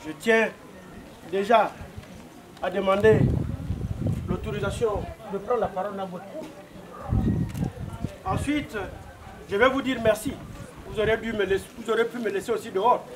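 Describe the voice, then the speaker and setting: Gabonese man w a really high voice, polite formal wedding speech outside, faint noise. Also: steady tone, moderate pace, long pauses. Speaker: spokesman for a visiting wedding delegation. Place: outdoor courtyard.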